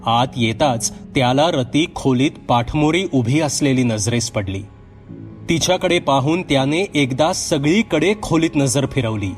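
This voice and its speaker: A man's voice: mid-range voice, expressive, a little slow, soft background music. A man narrating a scripted horror story as a storyteller, in a dramatic, suspenseful manner.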